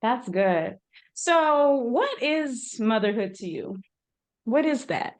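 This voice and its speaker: A woman's voice: on the high side, sing-song, takes it slowly. A woman hosting a podcast, speaking in a casual, conversational interview style.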